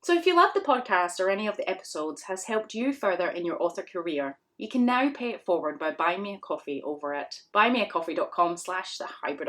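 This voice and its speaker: A woman with a slightly low voice speaks as a podcast host. She makes a friendly, encouraging appeal to her listeners. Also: fairly bright tone. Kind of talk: podcast promo message.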